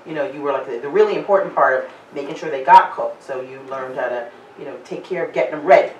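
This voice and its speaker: A man's voice: fairly high pitch, fast talker, slight background noise. A man in the audience sharing an earnest, reflective thought, with many "you know"s. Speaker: audience member. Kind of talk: speaking up from the audience. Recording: dull, roomy sound from far off.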